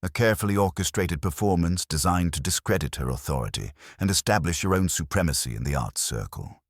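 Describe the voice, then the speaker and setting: A man voicing a mild, courteous police inspector with a measured, conversational, quietly ironic manner in a mystery drama. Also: low-pitched, animated, no echo, studio quality. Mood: mild irony.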